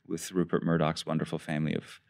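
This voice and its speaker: Deep-voiced man in his thirties. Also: flat delivery, fast, creaky, a little mellow, no room sound, studio quality. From Iran. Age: 30s. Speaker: podcast guest, newspaper editor. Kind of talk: casual interview talk.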